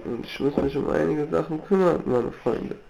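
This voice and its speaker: A man with a mellow, fry-laden voice talks in a loose, halting ramble full of slang. His delivery is unhurried, on a slightly noisy amateur recording. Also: on the high side. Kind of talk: casual, rambling monologue.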